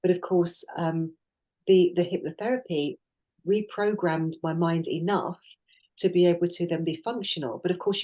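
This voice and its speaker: A middle-aged woman with a lowish, mellow voice talks through her experiences in a relaxed podcast chat. She is earnest and reflective and often stops to restart her sentences. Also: rough recording. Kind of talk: casual conversational podcast.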